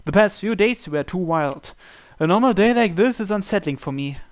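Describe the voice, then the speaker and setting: A man's voice: high voice, lively intonation, normal speed. A man narrating a visual novel in the first person, reading from the script.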